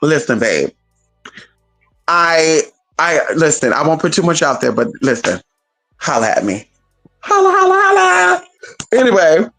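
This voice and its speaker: A woman's voice: deep voice, a little slow, gravelly, pauses often, soft background music. A woman chatting casually on a livestream, sassy and profane, calling her viewers honey and girl. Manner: animated, talks straight to viewers.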